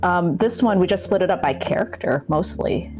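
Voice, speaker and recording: A woman's voice: lowish voice, normal speed. A woman chatting casually in an interview as a game writer. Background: guitar music.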